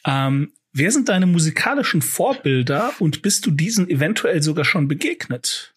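A man's voice: a little high, big pitch swings, normal speed, husky. A man interviewing a musician in a casual, easygoing way, asking brief questions.

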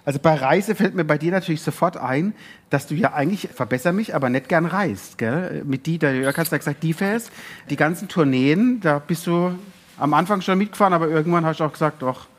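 Husky higher-pitched male talk show host. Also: fairly quick. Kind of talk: casual, bantering talk.

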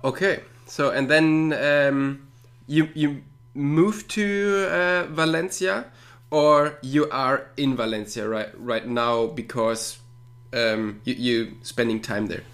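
A man's voice: mid-range voice, takes it slowly, a bit bright. A man hosting a podcast interview, asking friendly questions with fillers and repeated words. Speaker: podcast host.

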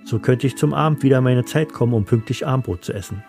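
A man's voice: medium pitch, quick pace, vocal fry, loud music behind the voice. A man reading a scripted first-person story as its narrator.

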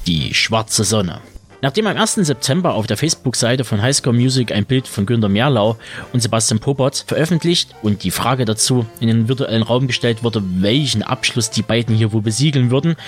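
Male podcast host, medium pitch, scripted delivery, light music bed. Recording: music under the voice.

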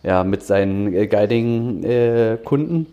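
A man's voice: on the low side, slow pace, dark tone, highs cut off. A man from Berlin in his thirties, an angler talking casually as a podcast guest, upbeat and a bit rambling, laughing often. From Germany, Berlin.

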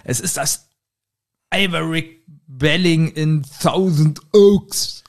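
Amused man retelling a story. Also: on the high side. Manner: amused, reads out clues haltingly. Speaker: podcast co-host. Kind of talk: casual chat retelling a kids' audio drama.

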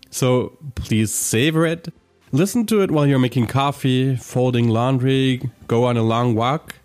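Upbeat German-native man hosting a podcast. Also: mid-range voice. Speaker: podcast host. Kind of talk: scripted intro then interview chat.

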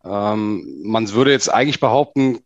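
A man's voice: lowish voice, normal speed, no room sound. A man, a guest on a podcast, who speaks hesitantly with many fillers. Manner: hesitant, filler-heavy speech. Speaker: key account manager guest. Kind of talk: podcast interview. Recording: dry, dull lo-fi sound.

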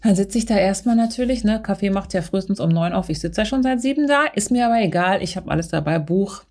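A woman's voice: animated, fast talker, darkish tone. A woman with a Berlin accent giving a brash, slangy comic monologue to a friend, mixing in English phrases and playing with theatrical swings in her voice. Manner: playful, theatrical pitch swings.

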